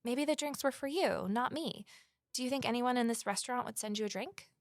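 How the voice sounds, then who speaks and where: Cautious woman sleuth, played by an actress, higher voice. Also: a little fast, dry recording, studio quality, natural voice. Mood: wary, uneasy. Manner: cautious, matter-of-fact. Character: wary amateur sleuth, woman, former journalist. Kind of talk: naturalistic audio drama dialogue.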